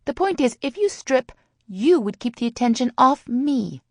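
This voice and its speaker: Woman doing a high, prim teenage girl. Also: animated, normal speed, no echo. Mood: exasperated. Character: anxious, sweet teenage girl, indignant when pushed.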